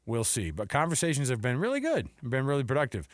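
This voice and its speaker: A man's voice: medium pitch, wildly swooping pitch, fast, no room sound. An American man co-hosting a sports radio show, chatting casually and jokily through the news and acting out imagined quotes. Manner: jokey, conversational, acts out imagined quotes. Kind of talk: casual sports talk banter with news rundown.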